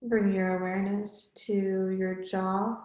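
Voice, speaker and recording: A woman yoga teacher leading a guided relaxation in measured, instructional short phrases with pauses between them. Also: mid-range voice, flat delivery, darkish tone, reverberant.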